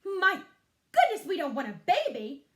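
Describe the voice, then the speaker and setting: Cold storybook mother acted by a woman reader, high-pitched, forceful, haughty, impatient, echoey room. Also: huge pitch swings, measured pace, exaggerated voice. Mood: appalled. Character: cold, scowling mother, irritable and unfeeling.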